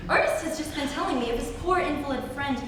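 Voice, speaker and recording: A sweetly insistent young girl of stage comedy, acted by a young woman in an amateur reading. Her voice is high and eager. It sounds distant, with heavy echo and loud noise. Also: fast talker, natural voice. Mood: pleading. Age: teens to young adult. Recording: very noisy echoey hall, distant mic.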